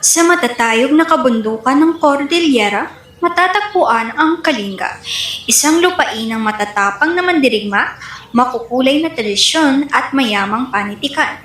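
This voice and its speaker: High-voiced Filipino woman narrator, echoey room. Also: expressive, normal speed, fairly bright tone. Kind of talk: scripted dramatization narration.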